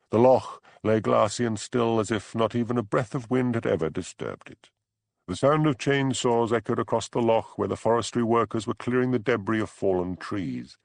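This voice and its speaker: A man's voice: lowish voice, creaky, dry recording. A man reading scripted audiobook narration.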